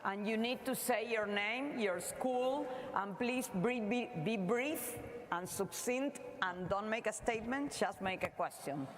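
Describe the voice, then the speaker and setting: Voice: medium pitch, a little slow, few pauses, fairly bright tone. A moderator at a university Q&A, organizing the queue and giving instructions to students. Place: university lecture hall. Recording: long hall reverb with crowd and noise.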